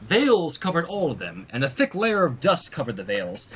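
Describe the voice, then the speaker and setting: A man reading a story aloud in an expressive narrating style, with casual asides. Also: a little high, moderate pace, breathy edge. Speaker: fan fiction reader.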